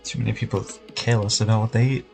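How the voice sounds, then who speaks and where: A man's voice: fairly low pitch, flat delivery, fast talker, gravelly, a little mellow. A man casually livestreaming a game, reacting offhand and reading lines aloud. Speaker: game streamer. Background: game music.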